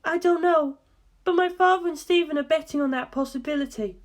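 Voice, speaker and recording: A woman voicing an intent, earnest young woman who explains dark legends deliberately and emphatically in an audiobook. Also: high-pitched, pitched above the performer's own voice, lightly acted. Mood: earnest, probing.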